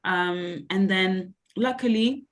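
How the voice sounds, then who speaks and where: A woman's voice: mid-range voice, slow. A young woman from Belgium answering interview questions about her sports coaching, talking hesitantly with many fillers. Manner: hesitant, many ums and likes. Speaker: sports coach and mentor, guest.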